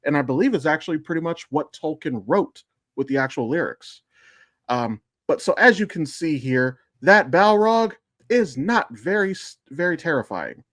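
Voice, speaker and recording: A man's voice: fairly high pitch, lively intonation, moderate pace, a bit bright, dry recording, studio quality. A man co-hosting a podcast in casual fan discussion, speaking informally with some swearing. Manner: informal, profane. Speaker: podcast co-host.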